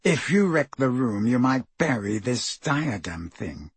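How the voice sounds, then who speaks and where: A man's voice: medium pitch, big pitch swings, measured pace, husky, dry recording, exaggerated voice. A man voicing Draco Malfoy, an arrogant teenage boy wizard with a cold, clipped, superior manner, in an audiobook reading. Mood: impatient.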